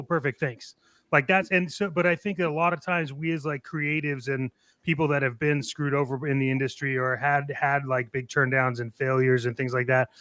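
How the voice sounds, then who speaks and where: Guy in a podcast panel chat, voice a bit high, close dry sound, acts out little conversations. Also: fairly bright tone, dry recording. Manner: illustrates points with acted-out dialogue. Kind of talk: casual panel discussion.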